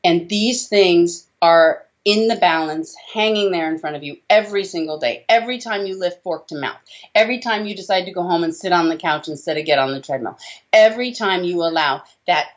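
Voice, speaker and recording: Low-voiced woman, slightly muffled. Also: a little fast. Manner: emphatic, rhetorical questions with tag checks like "okay?" and "right?". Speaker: doctor interviewed as guest. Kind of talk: explanatory interview talk. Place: small indoor room. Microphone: video call, computer at desk distance. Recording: dull lo-fi video call audio.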